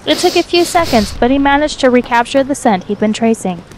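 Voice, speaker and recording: Female narrator for a fan fiction audiobook: higher-pitched voice, scripted storytelling, slight background noise. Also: lively intonation, a little fast.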